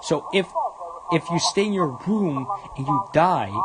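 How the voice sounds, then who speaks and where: A man's voice: a little high, expressive, unhurried. A man livestreaming a visual novel, reading it aloud and commenting, often stumbling over the words. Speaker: game livestreamer. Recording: loud background noise.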